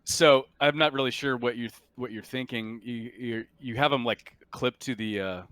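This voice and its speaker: A man acting as game master for a tabletop roleplaying game, talking casually and a little haltingly. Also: mid-range voice, a bit bright, no echo.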